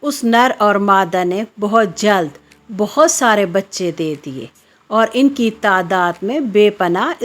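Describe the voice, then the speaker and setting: A woman narrating a scripted children's story in a measured, expository way. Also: medium pitch, a bit bright. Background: background music.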